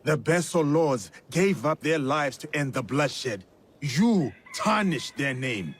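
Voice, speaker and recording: An actor playing a stern, principled samurai warrior in a video game, speaking in a measured, firm, husky voice. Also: male voice, fairly high pitch, animated, heavily acted. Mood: stern, determined. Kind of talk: dramatic video game acting.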